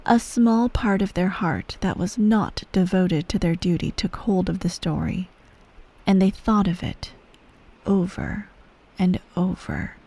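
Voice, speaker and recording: A woman playing a menacing, coolly doting empress mother in soft, breathy ASMR roleplay, measured and formal, often near a whisper. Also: medium pitch. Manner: measured, formal, veiled threats, breathy sighs.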